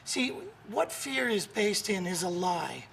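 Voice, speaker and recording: A hoarse man with laryngitis, a guest author and coach talking in an upbeat, emphatic, motivational way on a morning show. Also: high voice, very animated, takes it slowly, fairly bright tone, a little hiss or hum.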